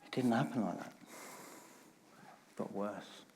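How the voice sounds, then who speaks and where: A man's voice: fairly low pitch, a little slow, a little mellow, slight background noise. A middle-aged man, giving a candid personal talk on stage and stressing points through repetition. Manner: candid, emphatic storytelling with repeated phrases. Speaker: conference speaker.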